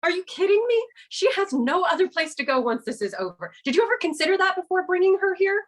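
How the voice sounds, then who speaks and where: Guarded wife and mother, read by a woman at a table read. Clipped lines, lively pitch. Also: fast talker. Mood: angry. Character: tense wealthy wife and mother.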